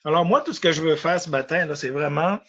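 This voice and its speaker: A man with a Quebec French accent, stuffy from a cold, presenting a software webinar in a hesitant, casual way with many fillers and restarts. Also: a little high, quick pace, lo-fi sound, slight background noise. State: congested with a cold.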